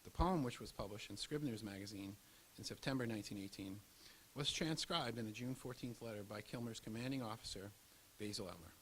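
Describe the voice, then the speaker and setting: A man's voice: on the low side, brisk, a bit bright, low-quality recording. A man reading a scripted historical narration. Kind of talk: scripted documentary narration read aloud. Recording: audible hiss and background noise.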